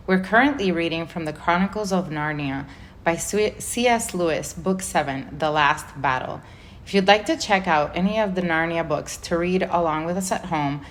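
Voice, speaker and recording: Low-voiced woman reading a storybook. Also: a little hiss or hum. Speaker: volunteer reader. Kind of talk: storybook read-aloud narration.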